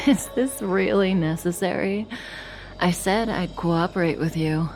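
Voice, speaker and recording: Calm mysterious game villainess, low slow voice, teasing and unbothered. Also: female voice, soft background music. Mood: languid, unafraid. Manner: slow, languid, coolly polite. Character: calm, enigmatic captured female criminal, teasing and unafraid. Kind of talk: dramatic video game voice acting.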